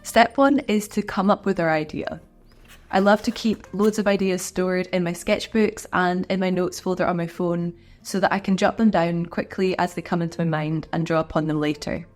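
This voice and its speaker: A woman's voice: lowish voice, steady tone, normal speed, faint music behind. A woman artist chatting to camera in an encouraging, friendly way, sounding a little stuffed up from a cold. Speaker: artist and video creator. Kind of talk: casual talk to camera.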